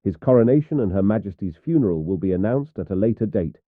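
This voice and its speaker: Deep-voiced male news announcer, phone-like sound. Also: expressive, quick pace, dry recording. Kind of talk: solemn scripted news bulletin. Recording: tinny, phone-like narrow band.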